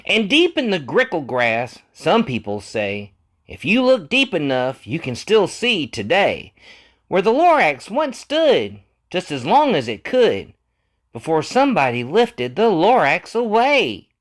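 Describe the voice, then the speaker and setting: A man reading a children's picture book aloud in an expressive, theatrical, deliberate manner, lingering on words. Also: fairly high pitch. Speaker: storybook reader.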